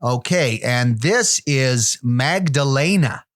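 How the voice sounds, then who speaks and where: Man as a judgmental phone operator reading out dating profiles; halting, fussy delivery in a normal male pitch. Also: expressive. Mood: deadpan. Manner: hesitant, picky commentary with restarts.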